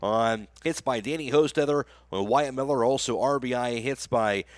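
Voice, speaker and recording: A man's voice: medium pitch, normal speed, no echo. A man working as a radio announcer, reading sponsor spots and recapping the game on a sports broadcast.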